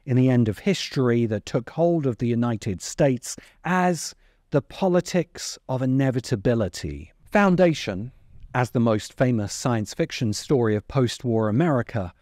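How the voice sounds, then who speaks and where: A middle-aged man narrating a scripted video essay in a deliberate, emphatic way, close to the microphone. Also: medium pitch, lively intonation, darkish tone, dry recording. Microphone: close desk microphone.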